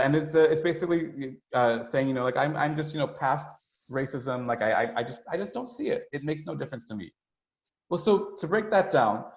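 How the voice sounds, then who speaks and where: A man giving an explanatory, conversational slide presentation as a webinar speaker, with a halting delivery full of hesitations and asides. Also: medium pitch. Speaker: United Nations staff speaker presenting a webinar. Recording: roomy, dull webinar audio.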